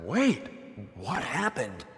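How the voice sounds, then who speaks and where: A man's voice: medium pitch, wildly swooping pitch, slow pace, a bit bright, breathy edge, no echo, clean studio sound, heavily acted. An actor playing an earnest young male special forces soldier in a 1990s video game cutscene, with stilted, wooden delivery. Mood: worried.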